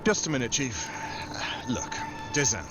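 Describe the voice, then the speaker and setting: A man's voice: medium pitch, very animated, unhurried. Man acting a wry American agent, noisy recording. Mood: calm, persuasive. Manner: wry, nonchalant. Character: unflappable, wisecracking American male secret agent. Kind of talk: 1940s radio drama acting.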